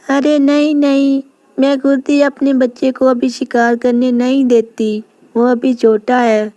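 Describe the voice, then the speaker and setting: A woman voicing an emotional, protective mother lioness in an animated animal story. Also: high-pitched, unhurried, no echo, highs cut off, pitched above the performer's own voice. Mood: protesting.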